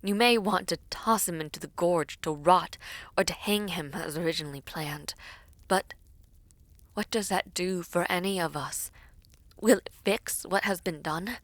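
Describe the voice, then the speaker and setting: A diplomatic young princess, played by a woman, speaks in a lowish voice, recorded close and dry. Her manner is measured and courtly, with earnest loyalty, as in a fantasy audio drama. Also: no room sound, natural voice. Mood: gently reasoning. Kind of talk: dramatic audio roleplay acting.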